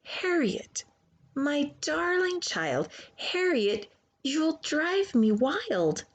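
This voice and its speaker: A woman voicing an exasperated but loving storybook mother, with drawn-out, pleading emphasis. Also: sits high, big pitch swings, pitched above the performer's own voice, exaggerated voice. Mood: exasperated, restrained.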